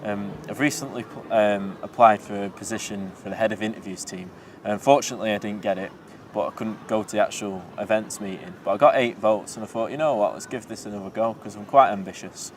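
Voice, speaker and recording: A man's voice: on the low side, steady tone, fairly quick, far from the mic, a little hiss or hum. A young man, a student radio presenter talking casually in an easygoing, rambling way with lots of fillers. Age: young adult. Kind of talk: casual interview talk. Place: outdoors in a city.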